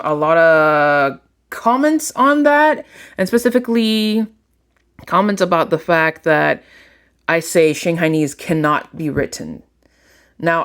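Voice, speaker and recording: A host from Colombia, a native Spanish speaker, talks straight to the viewers in a laid-back vlog. The voice is smooth and clear. The pace is slow and unhurried, and the talk rambles along. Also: mid-range voice, a bit bright. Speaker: channel host. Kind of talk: casual explanatory vlog.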